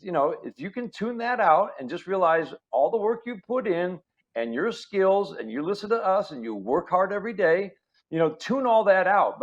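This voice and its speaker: A man with a high, very lively voice talks sports in a casual, emphatic way. The recording is a bit dull and lo-fi. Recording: slightly dull, lo-fi sound.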